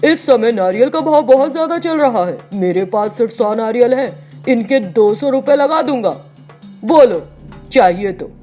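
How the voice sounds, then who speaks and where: A woman's voice: fairly high pitch, animated, normal speed, mellow, faint music behind, natural voice. A woman voicing a greedy, brusque male coconut seller who haggles, in a storybook tale. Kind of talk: storybook character voice.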